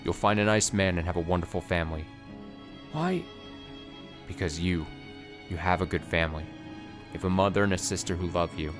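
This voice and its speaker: A man's voice: deep voice, normal speed, long pauses, over music, lightly acted. A man voicing a tormented young knight, speaking in a solemn, tender way that sometimes turns shaky. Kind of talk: dramatic reading of a story.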